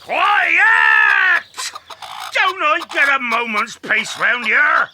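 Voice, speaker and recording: Shrill, blustering fat farmer villain. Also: male voice, bright, forceful tone, a little hiss or hum, heavily acted. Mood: irritable bluster. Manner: blustering, bad-tempered, slow and emphatic. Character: loud, gruff, greedy fat male farmer villain. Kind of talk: dramatized children's audiobook acting.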